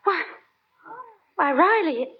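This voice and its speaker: A woman's voice: extremely high pitch, animated, slow, full character voice. A woman playing a scolding, exasperated wife in 1940s radio comedy, speaking emphatically. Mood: alarmed. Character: nagging, exasperated wife of a bungling husband. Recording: muffled old radio recording.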